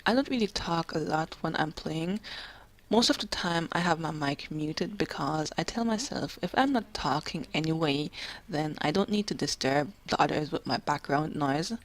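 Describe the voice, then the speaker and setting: Low-voiced young woman, soft and halting. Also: poor audio. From Jamaica. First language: English. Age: 20s. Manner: soft-spoken, halting, quiet and calm. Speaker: gamer. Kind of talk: personal commentary.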